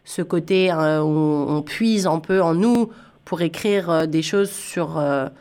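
A woman's voice: sits low, slow, darkish tone. A woman hosting a conversational radio interview, chatty and inclined to explain her thoughts as she goes. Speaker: radio host and music interviewer.

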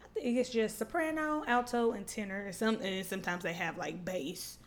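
A young woman co-hosting a casual podcast, telling stories with lots of laughter and a slightly hoarse voice. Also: medium pitch, moderate pace. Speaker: podcast co-host. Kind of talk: casual chat.

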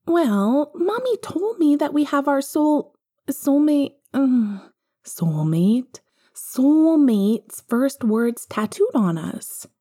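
Woman voicing an earnest, high-pitched little boy. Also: lively intonation, dark tone. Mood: earnest, halting. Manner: halting, childlike hesitations. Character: earnest, polite young schoolboy confiding in his godfather.

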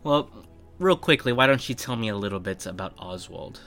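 A man's voice: fairly low pitch, animated, fairly quick, bright, forceful tone. A man speaking as a game master, hosting a fantasy role-playing show and setting up questions for a player. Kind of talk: scripted intro narration and character interview. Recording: music behind the voice. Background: background music.

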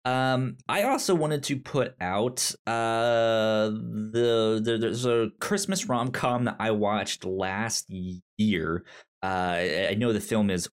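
A man with a frequent stutter, chatting casually and haltingly as co-host of a movie review podcast. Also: on the low side, clear, resonant tone. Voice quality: frequent stutter, repeating sounds and syllables.

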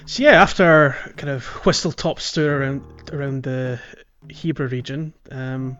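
Guy doing a gaming podcast, chatty n halting, bit muffled w music behind. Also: mid-range voice, a bit bright, smooth. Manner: halting, thinking aloud. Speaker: podcast host. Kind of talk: casual video game walkthrough chat.

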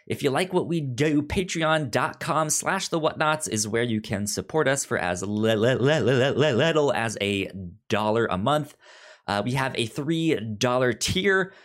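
A man's voice: mid-range voice, a bit bright. A man co-hosting a podcast in a casual book-chat style, often stammering and repeating syllables and words. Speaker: podcast co-host.

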